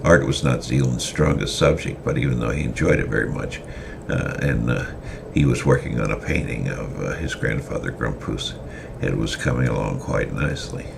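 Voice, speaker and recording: A man reading a story aloud in an unhurried way, with frequent hesitant fillers, over faint background noise. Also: rumbling low, vocal fry. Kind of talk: semi-dramatic story reading.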